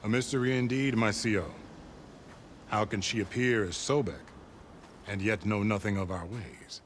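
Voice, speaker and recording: An actor playing a stern, suspicious overseer of a secretive order in a video game, speaking in a formal, measured, imperious way. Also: male voice, lowish voice, steady tone, a little hiss or hum, lightly acted. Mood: stern, challenging. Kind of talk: video game cutscene acting.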